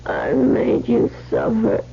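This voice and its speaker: Slow, anguished dying woman, sobbing. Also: fairly high pitch, big pitch swings, vocal fry, background noise, exaggerated voice. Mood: weak, tearful. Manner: halting, broken phrases. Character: despairing dying woman, weak, anguished, self-blaming. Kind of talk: 1970s radio drama acting. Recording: muffled vintage radio recording with faint music.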